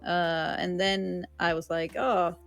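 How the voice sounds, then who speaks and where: A woman's voice: fairly low pitch, little pitch movement, slow pace, faint music behind. A woman from Sweden with a Swedish accent, a streamer being interviewed, rambling casually with lots of fillers and giggles. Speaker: streamer guest.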